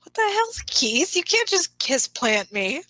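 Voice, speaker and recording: A woman plays a hot-headed teenage boy with a high, bright voice. He is blunt and indignant and takes his time over each line, in an amateur cartoon fan drama. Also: very animated, unhurried, no room sound, exaggerated voice. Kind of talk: fan fiction read as acted drama.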